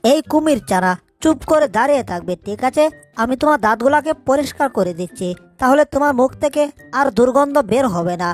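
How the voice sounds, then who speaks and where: A woman's voice: high-pitched, lively intonation, fast talker, soft background music. A woman voicing a small, clever, anxious male mouse in a cartoon dub, fretting and exclaiming to himself. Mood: fussy, coaxing. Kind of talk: Bengali cartoon dub acting.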